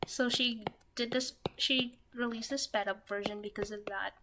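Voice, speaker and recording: A young woman streaming herself while drawing, talking softly and half-whispering, a little nervous, with pen scratching heard behind her. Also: a little high, steady tone, normal speed. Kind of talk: casual live-stream chat while drawing. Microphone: desk microphone that picks up pen scratching. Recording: noisy home stream recording.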